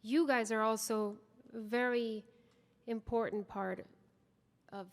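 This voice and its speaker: A woman from Guatemala, a singer talking earnestly and gratefully to a concert audience between songs, with many pauses. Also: fairly high pitch, steady tone. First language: Spanish. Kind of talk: between-song stage talk. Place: concert stage in a large hall. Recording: live hall reverb with guitar behind.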